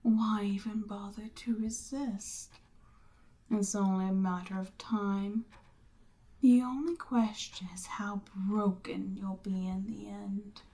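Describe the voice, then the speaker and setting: A woman voicing a seductive, domineering Demon Queen in an ASMR roleplay, breathy and often near a whisper, with a smug, coaxing, commanding manner. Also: mid-range voice, steady tone, slow, warm tone. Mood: threatening, commanding.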